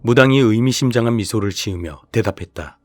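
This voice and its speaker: A man's voice: on the low side, a little fast, darkish tone, faint music behind. A man reading scripted horror narration as the storyteller of a radio-style show.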